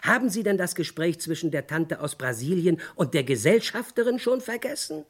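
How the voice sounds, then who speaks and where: A man's voice: sits high, sing-song, moderate pace, breathy edge, full character voice. An actor playing a pedantic, exasperated amateur sleuth in a comic radio play, insisting on his theories. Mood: insistent, exasperated. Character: insistent, know-it-all amateur sleuth Herr Mieder. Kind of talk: comic radio crime drama acting.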